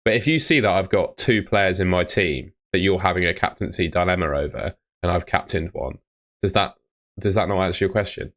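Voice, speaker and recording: Young male streamer, low voice, relaxed and chatty, reading viewer comments. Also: fairly quick. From Bristol, England. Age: young adult. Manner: relaxed, chatty, answers chat questions. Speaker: fantasy football streamer. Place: small room indoors.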